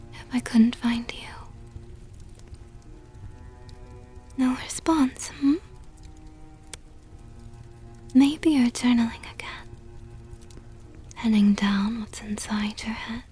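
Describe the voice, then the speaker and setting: A woman playing a seductive, possessive vampire countess in an ASMR roleplay, teasing in a soft whisper with mockingly sweet, coaxing words. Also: a little high, fairly even intonation, measured pace, faint music behind, natural voice.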